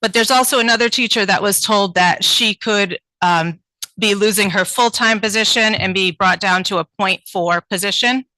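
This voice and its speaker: Earnest woman teacher with a bright voice. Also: mid-range voice, normal speed, no room sound, poor audio. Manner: earnest, pleading, with frequent ums. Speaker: teacher speaking at public comment. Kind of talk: prepared public statement. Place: town board meeting.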